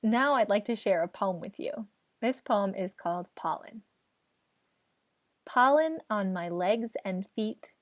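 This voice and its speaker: A young woman teaching a children's lesson in a simple, instructive way that invites listeners to join in. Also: mid-range voice. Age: young adult. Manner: simple, instructive, encouraging participation. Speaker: children's garden class teacher. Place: living room at home, indoors.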